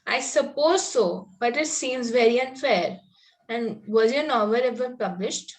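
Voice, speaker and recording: A woman plays a pert, romantic young girl in a Victorian comedy. Her voice is on the high side, and she speaks with ingenuous candour, contradicting her elders politely. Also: a little slow, natural voice, rough recording. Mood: wide-eyed. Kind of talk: play table read, Victorian comedy.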